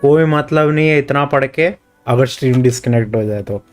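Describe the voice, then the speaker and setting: Quick Indian man streaming a game. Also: medium pitch. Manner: switches casually between commentary and read-out text. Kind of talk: live game commentary, reading dialogue aloud. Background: game music.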